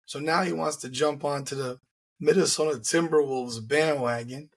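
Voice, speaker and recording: A hoarse man, getting over the flu, hosting a casual sports podcast with wry, teasing remarks over a video call from home. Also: a little high, steady tone, normal speed. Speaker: podcast host. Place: home room with shelves. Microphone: video call, headset.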